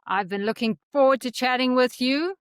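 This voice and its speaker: A woman's voice: high voice, normal speed, clean tone, no room sound, studio quality. A woman hosting a podcast interview, asking friendly, brief questions. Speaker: podcast host.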